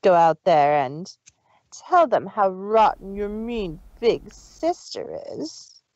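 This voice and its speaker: A woman's voice: medium pitch, big pitch swings, slow, a little mellow, dry recording, muffled recording. A woman voicing a cruel, arrogant big-sister mare villain in a dramatic story reading, taunting and condescending. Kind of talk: dramatic fan fiction reading.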